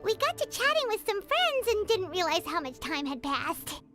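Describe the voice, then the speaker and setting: A woman voicing a tiny, excitable female companion creature who talks about herself in the third person. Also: very high-pitched, normal speed, heavily acted. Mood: chatty. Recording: game audio over loud music.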